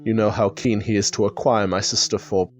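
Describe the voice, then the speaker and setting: Actor as grieving, anxious master of a gothic house. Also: male voice, fairly low pitch, little pitch movement, fairly quick, close to an everyday voice. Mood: grave, anxious. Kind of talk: gothic radio drama acting.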